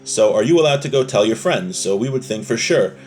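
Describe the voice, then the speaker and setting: Man, religious teacher, medium pitch, explanatory lesson style, conversational, rephrasing, rhetorical questions. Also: animated, brisk, darkish tone, soft background music. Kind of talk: explanatory religious lesson reading and translating a text.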